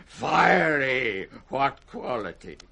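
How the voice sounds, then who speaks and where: An old king in 1960s radio Shakespeare, slow and piercingly sharp. He is proud and volatile, a wounded ruler unravelling in grand, wrathful speech. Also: mid-range voice, very animated, gravelly, exaggerated voice. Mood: imperious fury. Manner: grand, volatile, swinging from thunder to broken murmurs.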